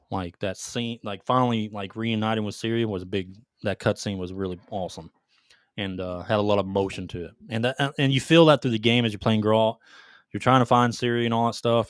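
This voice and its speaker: A man's voice: lowish voice, no echo, clean studio sound. A man co-hosting a gaming podcast, talking informally with banter and some swearing. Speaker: podcast co-host. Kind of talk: casual gaming podcast chat, countdown.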